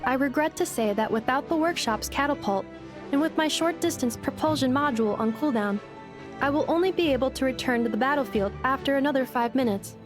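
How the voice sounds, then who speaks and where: Game cast: woman doing a precise little robot courier, high, formal, faint music. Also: fairly quick, natural voice.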